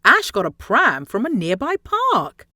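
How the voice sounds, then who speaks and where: A woman reading a rhyming children's story aloud in an expressive, theatrical way with deliberate phrasing and dramatic emphasis. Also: a little high, no room sound, studio quality. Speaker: storybook reader.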